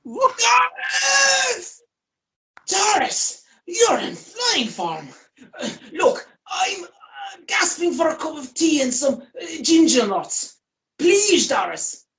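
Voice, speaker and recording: A woman's voice: very high-pitched, big pitch swings, takes it slowly, fairly bright tone, far from the mic, exaggerated voice. A woman playing a weary, evasive man in a radio play, with an Irish accent and a hesitant, stammering delivery. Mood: nervous, pleading. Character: weary, evasive, grubby man fending off his lover.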